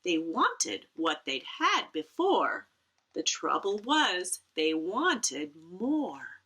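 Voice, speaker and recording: A woman reading a picture book aloud to children in an expressive style, with dramatic emphasis and playful asides. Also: lowish voice, very slow, slight background noise.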